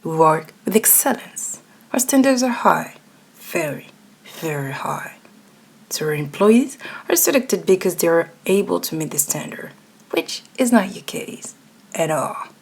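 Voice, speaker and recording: A woman's voice: fairly low pitch, expressive, unhurried, husky, heavily acted. A woman voicing a cold, domineering male CEO in an ASMR roleplay, stern, clipped and condescending. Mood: scornful.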